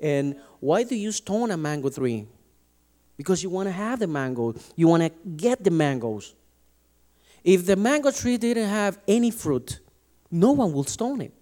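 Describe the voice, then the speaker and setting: A man's voice: high-pitched, sing-song, normal speed, warm tone. A man from Latin America preaching a sermon, emphatic and often restarting his sentences. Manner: emphatic, restarts and stumbles over words.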